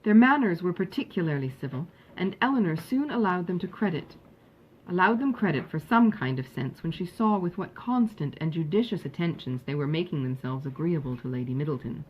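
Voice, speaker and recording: A woman reading a classic novel aloud as an audiobook narrator, in an expressive, measured storytelling manner. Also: fairly low pitch, lo-fi sound, a little hiss or hum.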